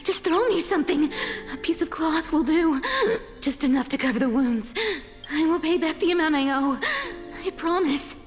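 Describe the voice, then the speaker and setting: A woman's voice: sits high, normal speed, natural voice. An actress playing a sly, world-weary female trickster thief in a video game, guarded, proud and knowing. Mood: desperate. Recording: long reverb under clear music.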